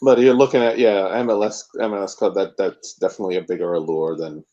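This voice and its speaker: A man co-hosting a sports podcast, talking in a measured, hesitant way with frequent pauses. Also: sits low, fairly even intonation, warm tone. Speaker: podcast co-host. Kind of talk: casual sports podcast chat. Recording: dull lo-fi mic.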